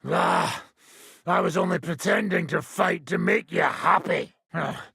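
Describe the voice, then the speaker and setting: An actor playing a boisterous, hard-drinking mercenary swordsman in a video game, with a gravelly British voice and a rough, teasing manner. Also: high-pitched, unhurried, pushed and bright, no echo, clean studio sound, exaggerated voice. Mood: loud, winded banter. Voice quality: gravelly, raspy. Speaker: combat trainer. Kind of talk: video game character dialogue.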